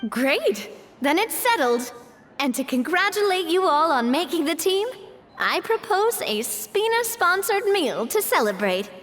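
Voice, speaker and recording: A cheerful, persuasive young lady, high-voiced and lively in pitch. She sounds upbeat and polished in game style, in a reverberant recording. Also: a little slow, faint music behind, heavily acted. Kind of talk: video game voice acting. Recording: reverberant, faint noise.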